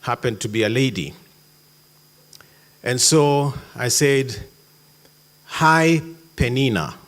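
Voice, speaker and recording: A man giving a conference talk in a hall, measured with sudden emphatic jumps. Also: mid-range voice, expressive, a bit bright. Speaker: conference speaker.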